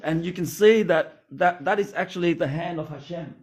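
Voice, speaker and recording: Hoarse Australian man, echoey from afar. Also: fairly high pitch. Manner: halting with many restarts and fillers. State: hoarse, losing his voice. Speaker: congregation leader. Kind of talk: sermon and spoken prayer. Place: small indoor synagogue. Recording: echoey room from far off.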